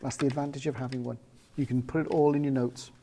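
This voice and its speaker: A man from South Wales, a parapsychologist guest talking in a measured, explanatory way with frequent ums. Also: mid-range voice, flat delivery, a crowd in the background. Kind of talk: casual interview chat.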